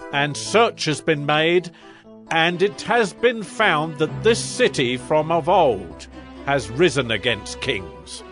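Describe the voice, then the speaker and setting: Stern old-world king laying down a decree, slow and measured, music under it. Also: a little high, fairly bright tone. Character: stern royal male king issuing a decree. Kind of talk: dramatized scripture reading.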